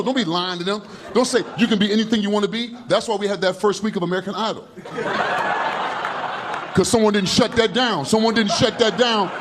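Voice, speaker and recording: A man in his fifties doing an animated stand-up routine on a comedy club stage into a handheld mic, gesturing broadly as he talks. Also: sits high, background noise. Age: 50s. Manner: loud, animated, slangy storytelling with heavy gestures. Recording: live club recording with audience laughter. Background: audience laughing.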